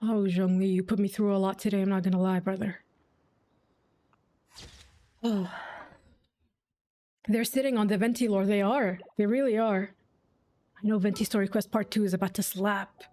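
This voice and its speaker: A woman's voice: mid-range voice, fairly even intonation, moderate pace, long pauses, warm tone. A young adult woman reacting casually on a live game stream in a chatty, slangy, informal way. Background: game music.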